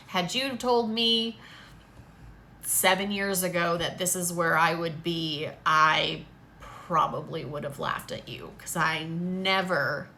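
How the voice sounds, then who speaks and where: A woman talks straight to the camera, loose and candid, often breaking into a laugh. Her voice sits fairly low yet sounds bright and forward. A faint hiss of background noise runs under the recording. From New York. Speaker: photographer and content creator. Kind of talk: casual Q&A to camera.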